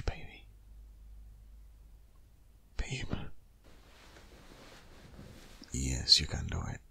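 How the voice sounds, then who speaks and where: A man playing a devoted, anxious but reassuring vet boyfriend in an intimate ASMR audio roleplay, whispering breathily with hushed, coaxing urgency. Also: a bass voice, gravelly, slightly rough, full character voice. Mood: urgent, encouraging.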